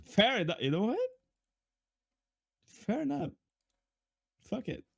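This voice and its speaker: A playful man streamer with swooping, excitable pitch and a dry, close sound. He banters casually and swears. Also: takes it slowly, gravelly, breathy edge, dry recording.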